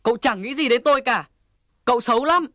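Touchy, earnest hedgehog for a kids' cartoon actor voicing: mid-pitched, sincere, dry recording. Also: fairly quick, bright, forceful tone, dry recording, natural voice. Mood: angry, hurt. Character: hardworking, earnest, easily offended young hedgehog. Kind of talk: Vietnamese children's cartoon acting.

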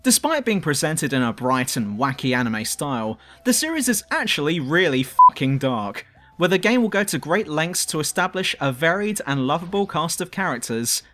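A man's voice: fairly high pitch, bright, forceful tone. A man reading scripted review narration as a video reviewer.

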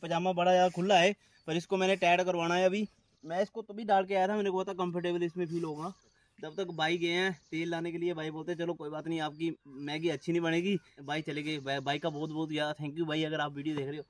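A man's voice: on the high side, level tone, a little fast. A young man from Himachal Pradesh, chatting casually to his viewers while camping in a grassy field. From Himachal Pradesh, India. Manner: chatty, addresses viewers as friends. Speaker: vlogger. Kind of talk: casual vlog talk to viewers. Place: outdoors in a grassy wooded field. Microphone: camera held at arm's length.

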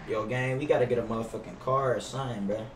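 A man's voice: medium pitch, fast talker, slight background noise. Young American man streaming, roomy and distant. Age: young adult. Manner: slangy, swearing, animated street talk. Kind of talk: gaming livestream roleplay, casual slangy chat. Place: indoor room at gaming chair.

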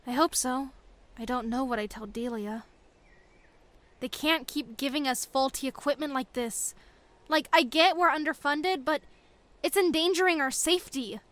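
High-voiced, caring young rebel. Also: female voice, normal speed, a bit bright, no room sound. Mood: frustrated, sad. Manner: earnest, concerned. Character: caring, worried female rebel returning from a mission. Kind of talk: sci-fi audio drama acting.

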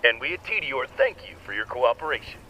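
A man's voice: medium pitch, big pitch swings, quick pace, pushed and bright, a little hiss or hum, poor audio. A man playing an officious, coldly menacing corporate soldier in a video game, reciting stiff legalese by rote. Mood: officious menace.

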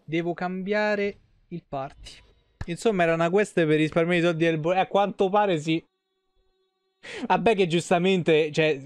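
A man's voice: fairly high pitch, clear, resonant tone, no echo. A man around his thirties, giving casual, slangy, sweary live commentary on a game. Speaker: game streamer. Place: indoor streaming room at home.

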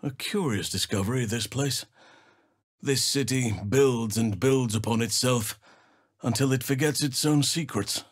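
An actor playing a big, earnest druid healer in a video game, solemn and gentle, with measured, formal, old-fashioned phrasing. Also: male voice, medium pitch, breathy edge, no echo, studio quality. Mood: solemn, reflective. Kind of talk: video game character voice acting.

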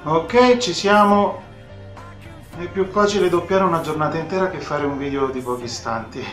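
A man's voice: fairly high pitch, normal speed, a bit bright, soft background music. A young man talking casually and hesitantly to camera in an echoey room at home. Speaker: amateur voice actor. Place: small indoor room at home. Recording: roomy echo.

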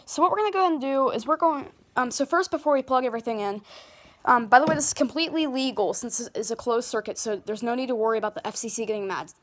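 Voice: medium pitch, fast talker, fairly bright tone. A speaker giving a casual how-to demonstration, talking informally and directly to viewers while working with gear. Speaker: hobbyist video maker demonstrating weather radios. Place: small carpeted room at home, indoors. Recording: noisy handheld home recording with clicks.

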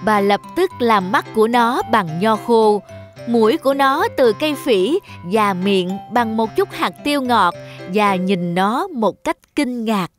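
A woman's voice: on the high side, animated, measured pace. A woman narrator reading a scripted children's story. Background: jingly children's music.

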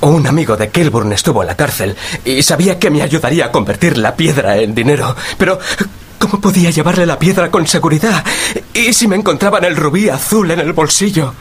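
Actor as nervous, high-pitched male thief. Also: lively intonation, a little fast, a little hiss or hum, lightly acted. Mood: nervous confessing. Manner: nervous, pleading, self-justifying. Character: cowardly, pleading male thief, nervous and servile. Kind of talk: radio drama acting.

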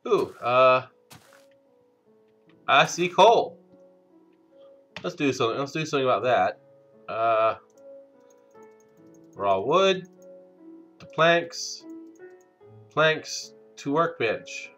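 Man with a head cold, game commentary host, unhurried and halting, bright tone, dull lo-fi mic. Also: mid-range voice, lively intonation, faint music behind. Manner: slow, hesitant, many "uh" fillers. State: stuffed up from a cold. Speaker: let's play host. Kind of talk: casual gameplay commentary.

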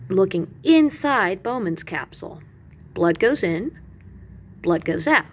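A woman reading educational material aloud as a teacher, with animated emphasis and carefully separated lists. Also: medium pitch, slow, husky. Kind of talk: expressive educational read-aloud.

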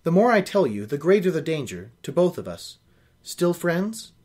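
Guy reading as a secretive serious young woman in a fantasy book, measured, lively pitch. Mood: earnest. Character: guarded, serious, perceptive young woman on the run.

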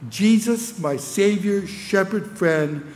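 An older man with a New England accent, preaching a measured sermon from a church pulpit microphone, lifting his voice on scripture lines. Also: high-pitched. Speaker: pastor. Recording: long church reverb.

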